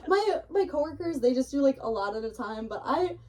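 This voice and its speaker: A woman's voice: high voice, expressive, fairly quick, dark tone, distant mic. A woman chatting casually as a podcast guest, in an informal, hedging way full of filler "like"s. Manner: informal, hedging speech peppered with "like". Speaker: podcast guest, hobby baker and cake decorator. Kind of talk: casual interview chat.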